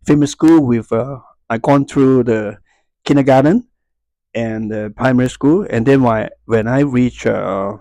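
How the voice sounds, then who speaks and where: A man in his fifties from Hong Kong, a native Cantonese speaker with a Hong Kong Cantonese accent, talks casually to viewers, haltingly searching for words. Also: mid-range voice, dark tone, no echo. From Hong Kong and Macau. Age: 50s. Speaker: YouTuber. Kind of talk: casual talk to viewers, unscripted.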